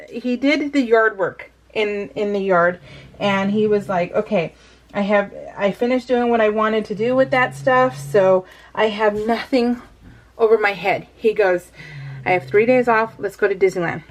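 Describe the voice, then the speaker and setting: Chatty woman rambling with frequent laughs. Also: medium pitch. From California. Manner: rambling, laughs often, lots of fillers. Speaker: crafting vlogger. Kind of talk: casual chat to viewers. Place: home craft room.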